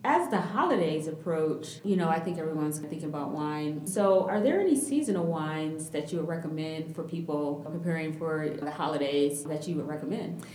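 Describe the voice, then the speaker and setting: A woman's voice: low-pitched, lively intonation, moderate pace, few pauses, darkish tone, echoey room. A woman hosting an interview, asking questions in an encouraging, conversational way. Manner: encouraging, frequent "mm-hmm" backchannels. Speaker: interviewer, host. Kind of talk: casual interview.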